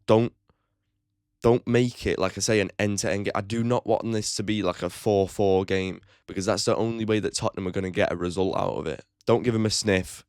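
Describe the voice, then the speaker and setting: Deep gravelly guy doing a football match preview vlog, blunt and chatty, swears a bit, dry sound. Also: fairly even intonation, brisk, a little mellow, no echo. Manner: opinionated and blunt, swears casually; chatty, with frequent "like" fillers. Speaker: football fan channel host.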